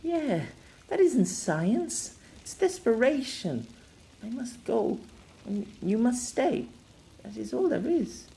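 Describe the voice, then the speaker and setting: A man's voice: very high-pitched, sing-song, takes it slowly, creaky, mellow, pitched above the performer's own voice, heavily acted. A man voicing a pained, solemn woman resigned to parting in an audiobook story. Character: pained, resigned gentle woman, not quite real.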